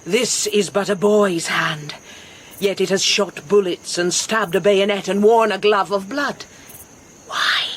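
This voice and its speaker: A languid, middle-aged Spanish noblewoman of the radio play , acted by a woman. She speaks very slowly, commanding and brooding, like one used to being obeyed. A faint background noise of outdoor insects sits behind her. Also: medium pitch, fairly even intonation, natural voice. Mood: commanding, menacing. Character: languid, imperious older Spanish noblewoman, sun-weary mother. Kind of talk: radio drama acting.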